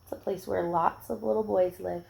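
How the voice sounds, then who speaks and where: A woman reading a picture book aloud to young children, expressive, with gentle explanatory asides. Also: lowish voice, normal speed, vocal fry, a little hiss or hum, poor audio.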